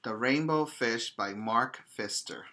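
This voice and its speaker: A male preschool teacher with a bright, forward voice and a mid-range pitch. He takes his time, speaking very slowly and deliberately. His delivery is expressive, in the manner of a picture-book read-aloud for young children. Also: slight background noise. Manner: addresses young children directly, simple deliberate phrasing.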